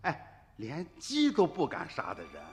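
A man's voice: on the high side, wildly swooping pitch, measured pace, in a large hall. A man playing an earnest, suspicious village uncle in a radio drama, insistent and sighing. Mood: agitated. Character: earnest, suspicious rural uncle telling the past to a grandchild. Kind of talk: Chinese radio drama acting and narration. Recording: music behind the voice.

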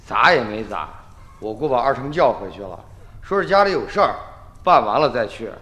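Gruff young villager: animated, forceful, suspicious and rough-tongued, echoey radio drama. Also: male voice, medium pitch, normal speed. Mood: blunt. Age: young adult. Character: gruff, suspicious young farmer, sarcastic elder cousin.

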